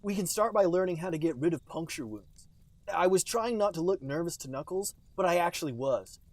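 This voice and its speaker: A man voicing an excitable, fretful cartoon game creature in a comic fan fiction reading, with exaggerated dramatic delivery. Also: a little high, a little fast, no room sound. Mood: anxious, earnest.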